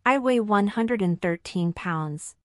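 A woman's voice: medium pitch, expressive, takes it slowly, no room sound, clean studio sound. A woman playing a polite married citizenship applicant in a scripted practice interview, answering formally and carefully in full sentences. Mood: polite, composed.

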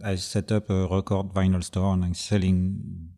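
A man from Brittany with a French accent speaks hesitantly, leaning on many fillers. His voice is low and mellow, with a noticeable reverb around it. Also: flat delivery, moderate pace. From Brittany, France. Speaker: guest, brand co-founder.

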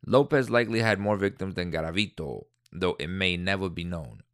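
Deep-voiced man reading and ranting. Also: lively intonation, a little fast, smooth, no room sound, studio quality. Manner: indignant, rambling asides with restarts. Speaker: podcast host. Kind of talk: reading aloud and commenting, casual.

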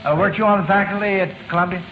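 Male radio quizmaster, vintage. Higher-pitched, hesitant and folksy; dull, echoey recording. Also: steady tone, racing. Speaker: quiz show host. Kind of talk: unscripted quiz show hosting, old-time radio. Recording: dull, noisy, roomy old radio recording.

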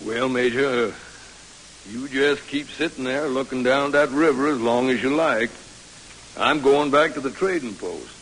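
An actor playing an easygoing, folksy American desert guide in an old radio western. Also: male voice, mid-range voice, a little slow, noisy background, lightly acted. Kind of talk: old-time radio drama acting. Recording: old radio recording, faint music.

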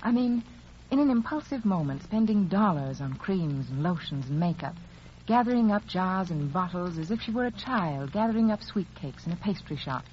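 Woman, low-pitched voice, persuasive vintage radio commercial announcer, dull band-limited recording. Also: lively intonation, moderate pace, a little hiss or hum. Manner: persuasive, carefully phrased storytelling pitch. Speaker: commercial announcer for a face cream. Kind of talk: scripted 1940s radio advertising narration.

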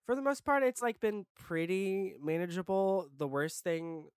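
A man's voice: high voice, a little slow, smooth, no room sound, clean studio sound. A young man with a congested voice, chatting casually as a podcast co-host and rambling with lots of "like" and "um.". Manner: rambling, heavy on "like" and "um". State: congested from illness. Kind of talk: casual chat.

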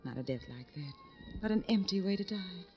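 Woman as lonely society flirt, arch, theatrical, very animated pitch, vintage radio drama, muffled with music. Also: brisk, close to an everyday voice. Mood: evasive, flippant. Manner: arch, dramatic, poetic phrasing. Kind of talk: 1950s radio drama acting. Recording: dull old radio recording with music.

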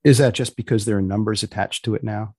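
A man's voice: fairly low pitch, fairly even intonation, fast talker, darkish tone, husky, no room sound. A man hosting a podcast in a dry, understated conversational way, prompting his guest with questions. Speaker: podcast host. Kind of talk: conversational interviewing.

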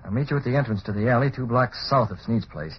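An actor playing a cool, decisive publisher and secret vigilante in an old-time radio drama, clipped and commanding. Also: male voice, medium pitch, fast talker, close to an everyday voice. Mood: brisk, commanding. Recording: muffled, hissy old radio recording.

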